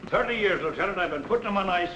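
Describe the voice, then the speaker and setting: A man's voice: sits high, lively intonation, rapid-fire, a bit bright. An American man acting a flustered, folksy old-hand morgue attendant in a 1940s film. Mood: flustered, defensive. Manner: folksy, ungrammatical. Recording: echoey room, faintly hissy old film.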